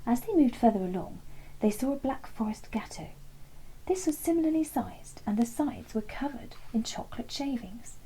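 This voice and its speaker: A woman reading a children's bedtime story aloud in an expressive, deliberate storytelling manner, with a soft, breathy voice. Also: fairly high pitch, slight background noise, low-quality recording. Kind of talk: scripted read-aloud story narration.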